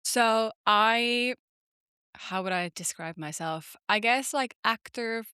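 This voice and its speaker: A woman's voice: a little high, slow, smooth, dry recording, clean studio sound. A young woman from Finland, a podcast guest and actor, chatting casually with lots of "like" fillers. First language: Finnish. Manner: chatty, many "like" fillers, lively storytelling. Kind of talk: casual interview chat.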